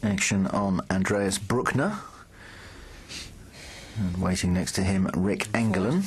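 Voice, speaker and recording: A man commentating on a poker final in a measured, wry way. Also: deep voice, breathy edge. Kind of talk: live commentary.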